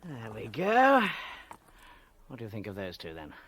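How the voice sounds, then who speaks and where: An actor playing a sly, affable prison forger in a radio comedy, chatty and politely ingratiating. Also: male voice, on the low side, huge pitch swings, unhurried, a bit bright, no room sound, exaggerated voice. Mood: eager. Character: sly, affable male prison art forger, eager to please. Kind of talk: British radio comedy drama acting.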